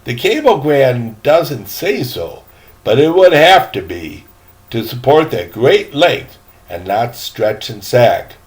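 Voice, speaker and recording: Man acting a slow, pedantic old-salt rope splicer. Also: mid-range voice, sing-song. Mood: matter-of-fact, precise. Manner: emphatic, deliberate, instructive. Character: roly-poly seaman rope splicer, pedantic, patient, gruff lecturer. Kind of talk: acted storybook dialogue.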